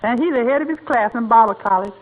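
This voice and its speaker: A woman's voice: on the high side, quick pace, close to an everyday voice. An actor, heard as a woman, playing a good-humored, giggly, deferential yet cheeky housemaid with folksy dialect in a 1940s-style radio comedy. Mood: earnest, teasing. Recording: noisy, muffled old radio broadcast.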